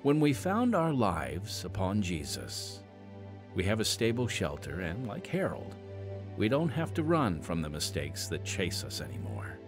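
A man's voice: low voice, wildly swooping pitch, unhurried, music in the background. A man hosting a podcast in a scripted, radio-style announcing delivery. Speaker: podcast host.

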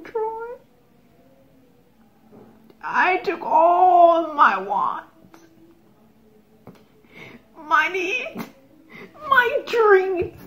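A woman performing a dramatic monologue as an anguished, long-suffering wife, moving between whispers and loud outbursts on a lo-fi recording. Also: sits high, full character voice. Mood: anguished, tearful.

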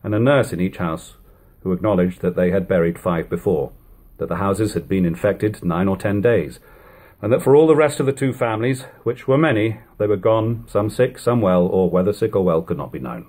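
A man reads an old literary narrative aloud in a measured, formal, dramatic way at home. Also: sits low, a little mellow. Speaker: reader. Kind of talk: audiobook-style reading of an old literary narrative. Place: living room at home. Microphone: webcam or device camera at about arm's length.

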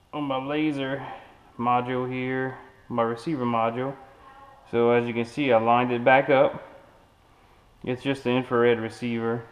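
A man's voice: mid-range voice, big-room sound, highs cut off. A young man casually demonstrating a homemade electronics build at home, explaining loosely and thinking aloud as he goes. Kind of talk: casual demo narration. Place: living room at home, tiled floor. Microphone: action camera on the room.

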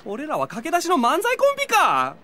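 A good-natured teenage boy voiced by a woman in an audio drama, bright and high. He is an earnest straight man, lively in pitch and easily flustered. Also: exaggerated voice. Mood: exasperated. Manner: earnest, quick to retort. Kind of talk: Japanese audio drama acting.

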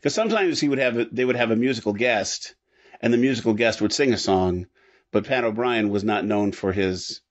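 A man co-hosting a podcast, chatting casually and a little haltingly about old-time radio. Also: on the low side. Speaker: podcast co-host. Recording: slightly dull, lo-fi audio.

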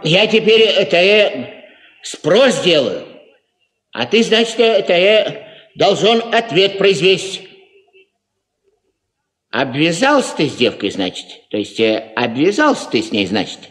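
A stubborn, simple old peasant in a 1950s radio drama. His voice sits high and swings widely, stumbling and circling back over the same filler words as he searches for his meaning. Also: very animated, a bit bright, full character voice. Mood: grave, halting. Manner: halting, tongue-tied, repeats filler words. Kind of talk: Soviet 1950s radio play acting.

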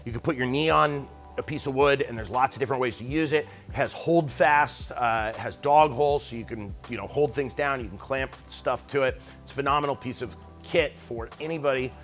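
A man's voice: medium pitch, fairly quick, a bit bright, soft background music. A man in his forties hosting a woodworking channel, talking casually and enthusiastically straight to camera in his shop. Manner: enthusiastic, upbeat, conversational with fillers. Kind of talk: casual direct-to-camera pitch. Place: mostly empty woodworking shop, indoors.

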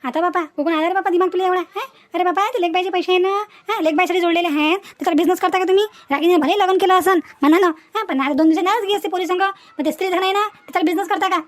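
Cartoon casting: woman as protective old grandmother, high voice, brisk folksy admonishing. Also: fairly even intonation. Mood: reproachful. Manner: admonishing, folksy, tags sentences with questions. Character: protective old grandmother, reasoning but firm. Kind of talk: Marathi family cartoon dialogue.

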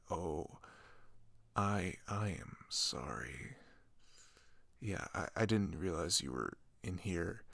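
A man acts out a sweet, shy male best friend in an ASMR roleplay. His voice is lowish with a lot of creak, and he speaks in a halting, flustered, gentle way. The sound is dry and close. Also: dry recording, full character voice. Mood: surprised, apologetic. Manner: hesitant, stammering, soft-spoken. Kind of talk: ASMR roleplay, intimate one-sided dialogue.

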